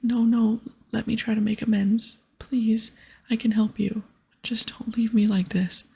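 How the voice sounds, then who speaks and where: A woman voicing a scheming, self-justifying male wizard from a fantasy game in a breathy whisper. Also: fairly high pitch, flat delivery, a little slow, warm tone, heavily acted. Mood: pleading. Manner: pleading, then dryly explanatory.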